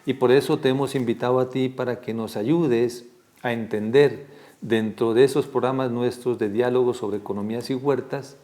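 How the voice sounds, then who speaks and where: A man with a mid-range voice hosts an interview program. He speaks formally and at length, building deliberate introductions and circling back over phrases. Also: medium pitch, mellow. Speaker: program host. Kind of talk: interview with long spoken introductions.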